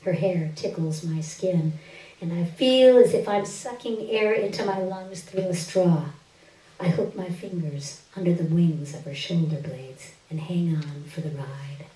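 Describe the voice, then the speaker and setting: A woman giving an expressive, deliberate reading of first-person literary fiction on stage, with drawn-out phrases. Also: medium pitch. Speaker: reader of a short story on stage. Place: indoor stage, live reading. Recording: roomy stage recording, distant microphone.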